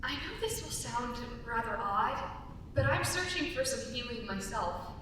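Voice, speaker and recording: A woman's voice: on the high side, lively intonation, measured pace. A woman playing a polite, reserved female traveller seeking healing in a staged script reading. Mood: polite, curious. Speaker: student actor. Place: large indoor room at a live staged reading. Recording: echoey, distant, noisy room.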